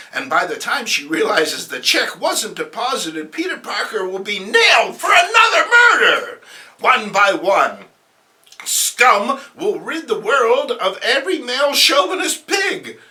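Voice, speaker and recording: A man's voice: high-pitched, wildly swooping pitch, measured pace, bright, forceful tone, pitched above the performer's own voice, exaggerated voice. A man voicing a scheming, vengeful villainous woman in a melodramatic comic-book reading. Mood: sly.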